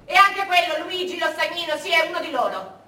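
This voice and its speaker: Echoey, distant stage recording: a vengeful, jealous village woman, high and sharp, taunting her neighbours. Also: lively intonation, a little fast, heavily acted. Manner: taunting, accusing, theatrical. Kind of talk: stage monologue. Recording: echoey stage, distant mic, faint noise.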